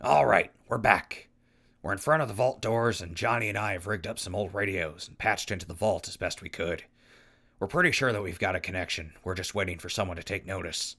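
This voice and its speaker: A man plays a gruff but encouraging old-hand male survivor in a radio play. The voice is low and full of fry, with a forceful bright edge and casual, punchy delivery. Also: brisk, exaggerated voice. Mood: businesslike. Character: gruff, loyal, encouraging male wasteland crew veteran. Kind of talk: post-apocalyptic audio drama acting.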